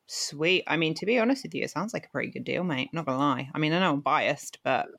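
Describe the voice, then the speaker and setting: A woman's voice: sits low, fast, vocal fry, fairly bright tone. A young woman in her twenties, co-hosting a podcast with a friend in a laid-back, jokey way with casual swearing. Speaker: co-host and friend.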